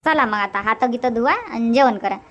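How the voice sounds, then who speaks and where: Cartoon village granny played by a woman: very high voice, Varhadi Marathi accent, hospitable, bossy, chatty and repetitive. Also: normal speed, lo-fi sound, close to an everyday voice. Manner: chatty, insistent, repeats herself. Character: hospitable, bossy village grandmother in a family comedy. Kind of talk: cartoon comedy dubbing.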